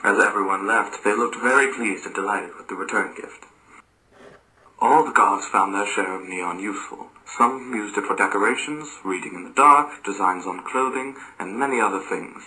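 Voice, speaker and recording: Man, scripted narration, low pitch, very sharp bright tone, occasional stumbles in reading. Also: moderate pace, poor audio.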